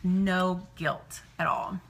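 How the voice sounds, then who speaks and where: A woman's voice: fairly low pitch, drawn-out words, vocal fry, fairly bright tone, a little hiss or hum. A woman in her thirties chatting casually and digressively to live viewers as she records in her home kitchen. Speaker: live-streaming vlogger and meal-prep host.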